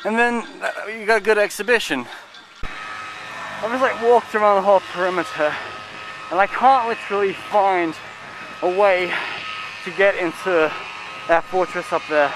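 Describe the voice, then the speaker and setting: A rambling man in his thirties narrates a walking tour outdoors, speaking into a handheld camera held at arm's length. His voice sits a bit high for a man, and faint outdoor ambience hums behind him. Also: moderate pace, background noise. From United Kingdom. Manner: rambling, chatty, lots of "like" and "I guess". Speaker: travel vlogger. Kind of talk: casual walking tour commentary. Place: outdoors in a city park and fortress.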